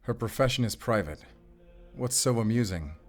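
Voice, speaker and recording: An actor playing a weary, guarded werewolf hunter in an audio drama, terse, stern and defiant. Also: male voice, fairly low pitch, lively intonation, normal speed, soft background music. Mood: wary, irritated. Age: 30s.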